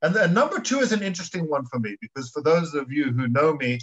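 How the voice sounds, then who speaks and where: A man's voice: fairly high pitch, animated, fast talker, a bit bright, slightly rough. A man from South Africa co-hosting a Bible discussion, speaking conversationally and emphatically, repeating words as he goes, on dull lo-fi audio. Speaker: school principal co-hosting a Bible study.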